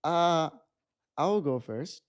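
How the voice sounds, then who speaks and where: A man in his twenties speaks with a Mexican accent as a podcast guest. His voice sits a little high, and his talk is animated, tumbling through restarts as he chats. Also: drawn-out words, smooth. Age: 20s. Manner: animated, restarts sentences often. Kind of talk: casual chat.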